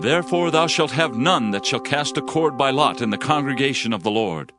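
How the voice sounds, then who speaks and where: A man's voice: medium pitch, lively intonation, normal speed, natural voice. A man playing a grave Hebrew prophet who proclaims judgment and lament in solemn, declamatory scripture phrasing for a dramatized audio Bible. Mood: stern. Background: background music.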